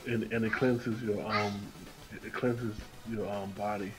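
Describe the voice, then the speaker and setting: A man's voice: on the low side, fairly even intonation, unhurried, poor audio. A man chatting casually off-mic in the background, with video game music playing.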